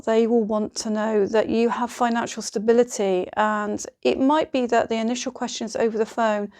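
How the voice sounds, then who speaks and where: A woman's voice: on the high side, fairly even intonation, normal speed, darkish tone. A woman presenting informal instructional advice, speaking directly to the listener in an encouraging, reassuring manner.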